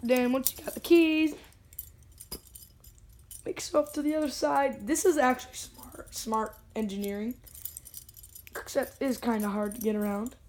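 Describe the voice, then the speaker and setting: A young vlogger with a hoarse, worn voice, chatting and joking casually while unboxing. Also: mid-range voice, steady tone, moderate pace, pauses often, darkish tone, slight background noise. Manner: chatty, jokey, self-talking. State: hoarse, lost voice.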